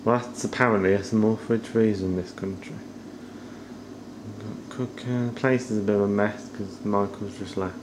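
A middle-aged man giving a casual, low-key, off-the-cuff house tour as he walks around indoors with a handheld camera. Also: fairly even intonation, moderate pace. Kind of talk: casual off-the-cuff narration while filming. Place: indoors, walking through rooms of a house. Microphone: handheld camera at arm's length. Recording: noisy handheld camera audio.

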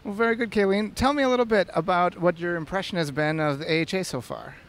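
A man's voice: high-pitched, quick pace, fairly bright tone. A man in his thirties hosting on-camera interviews at an event with a handheld microphone. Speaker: interviewer, blog host. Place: large convention hall.

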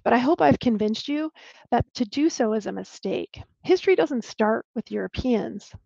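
A woman archaeologist giving a slide talk over a video call, explaining clearly and sometimes restarting a word. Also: on the high side, animated, moderate pace, dark tone, no echo. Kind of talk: presenting a lecture with slides. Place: home room on a video call. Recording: dull, lo-fi dry video call audio.

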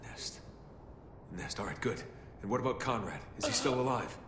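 A man's voice: mid-range voice, animated, moderate pace, fairly bright tone, slight background noise, close to an everyday voice. A man playing a resolute, commanding special forces captain who talks tersely in a video game. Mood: pressing concern. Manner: terse, authoritative. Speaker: squad leader. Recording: echoey, music behind.

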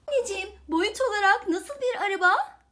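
A woman's voice: very high-pitched, expressive, brisk, fairly bright tone, slight background noise. A woman speaking as a mother teaching her young child, asking questions and praising in an affectionate, encouraging, repetitive way.

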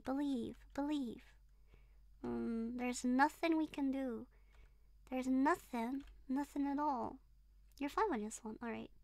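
A woman's voice: sits high, gravelly, no room sound. A young woman with a non-native English accent streaming a horror game, chatting with her viewers and shrieking and giggling when startled. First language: not English. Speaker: virtual streamer. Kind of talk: live gameplay commentary reading chat. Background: game music.

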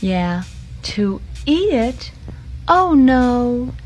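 A woman's voice: fairly high pitch, big pitch swings, extremely slow, full character voice, rough recording. Gossipy comic musical lady. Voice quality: playful, teasing back-and-forth. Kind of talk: sung comic musical dialogue. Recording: music playing behind.

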